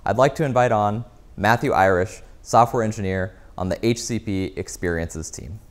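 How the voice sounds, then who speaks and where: A man in his thirties with a fairly low voice delivers a product keynote. He explains step by step and stresses his key phrases, speaking into a lapel mic. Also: normal speed. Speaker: company executive, keynote presenter. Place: indoor office lounge.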